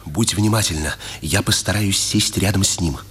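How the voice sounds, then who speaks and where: An actor playing a defiant, ironic Communist writer held prisoner, speaking with dry irony in a 1950s Soviet radio drama. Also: male voice, low-pitched, fairly bright tone, slight background noise. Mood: grave, resolute. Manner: dry irony, deliberate storytelling.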